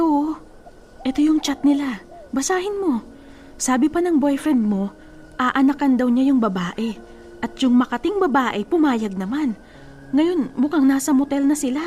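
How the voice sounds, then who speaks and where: A woman's voice: high-pitched, normal speed, a little mellow, a little hiss or hum, close to an everyday voice. A woman acting a blunt, exasperated young female cousin who scolds and talks in a sassy, colloquial way in a Tagalog radio drama. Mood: exasperated, scolding.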